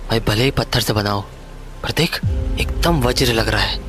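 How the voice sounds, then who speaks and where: An actor playing an excitable, easily confused young sidekick who banters colloquially in a horror story. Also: male voice, on the low side, normal speed, close to an everyday voice. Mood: curious, excitable. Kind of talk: animated horror story dialogue. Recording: faint music behind the voice.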